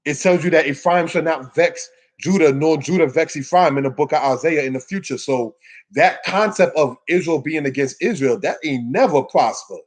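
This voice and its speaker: A man's voice: on the high side, normal speed. A man teaching scripture in an informal sermon, exhorting his listeners with emphatic phrasing and rhetorical questions. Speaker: religious teacher giving a scripture lesson. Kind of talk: sermon, informal Bible teaching addressed to a congregation.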